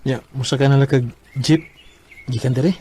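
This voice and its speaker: A man's voice: mid-range voice, steady tone, normal speed, lightly acted. Filipino man acting a sheepish cheating boyfriend, noisy track. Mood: casual. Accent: Cebuano Filipino. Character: apologetic married boyfriend hiding his wife. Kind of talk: Filipino radio drama acting.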